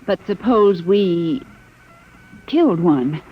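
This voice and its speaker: A woman's voice: on the low side, expressive, slow pace, breathy edge, soft background music, exaggerated voice. An actress playing an earnest, distressed captive woman with urgent, faltering speech in a 1950s radio drama. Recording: muffled, noisy vintage 1950 radio recording.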